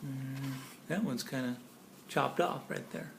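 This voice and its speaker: A man reading a children's picture book aloud expressively, with pauses between phrases and chatty explanations to listeners. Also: mid-range voice, creaky, slight background noise. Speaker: storybook reader. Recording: echoey room.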